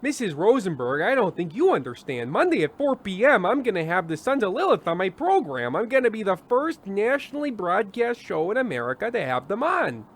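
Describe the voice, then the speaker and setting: An actor playing a glum, fretful middle-aged variety show host in a radio play, with a halting, stammering delivery. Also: male voice, high-pitched, big pitch swings. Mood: agitated, fretful. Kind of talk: old-time radio play acting.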